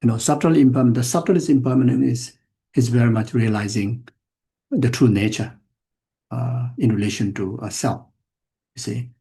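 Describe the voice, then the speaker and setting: An older man gives a dharma talk in a gravelly, mellow voice. He speaks in halting fragments, circling back with repeated words and restarted phrases. His tone stays plain and reflective, with a self-mocking edge. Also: medium pitch, steady tone. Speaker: Buddhist teacher. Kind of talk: dharma teaching to an audience.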